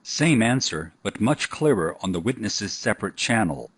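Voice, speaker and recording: A man narrating a scripted software tutorial in an instructional style. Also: lowish voice, big pitch swings, moderate pace, breathy edge, no room sound.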